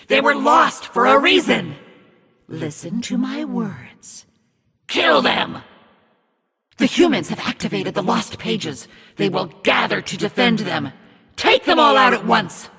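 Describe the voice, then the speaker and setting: A woman voicing a malevolent female demon who commands the undead in a video game, menacing and imperious, with a raspy voice. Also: fairly high pitch, fairly bright tone, full character voice. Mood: bloodthirsty, commanding. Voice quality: raspy, husky. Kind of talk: scripted villain game narration. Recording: long cavernous reverb.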